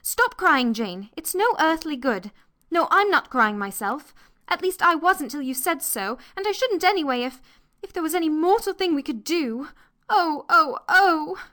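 Woman doing a worried little girl for a kids story, high and bright, breathless n pleading. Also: very animated, moderate pace, exaggerated voice. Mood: frantic, tearful. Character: anxious, earnest young girl, the responsible sister.

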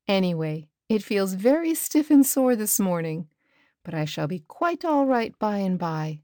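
A drowsy, gentle mother from a storybook, as a woman reader puts her on, speaking slowly in a mellow, lilting tone. The sound is dry and close. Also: medium pitch, no echo. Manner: slow, soft, drowsy reassurance. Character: gentle sleepy ailing mother.

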